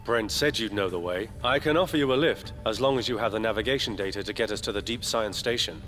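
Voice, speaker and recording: A man's voice: fairly low pitch, quick pace, a bit bright, faint music behind, natural voice. A man playing a cocky, dry-humored mercenary pilot in a video game. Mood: wry, assured. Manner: wry, dry, laid-back. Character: cocky, wry male mercenary space pilot. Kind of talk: scripted video game voice acting.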